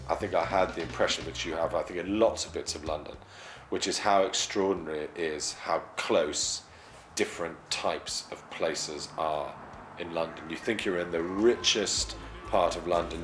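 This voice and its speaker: A man with a very deep voice gives a reflective, slightly hesitant interview answer. The room is echoey, and clear music plays behind him. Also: fairly even intonation, normal speed.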